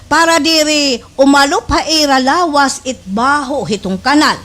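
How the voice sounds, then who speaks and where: A woman's voice: sits high, measured pace, a bit bright. An actress playing a scheming village woman who feigns saintly helpfulness in a slapstick radio drama, with a Waray accent and exaggerated delivery. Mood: sweetly pretending.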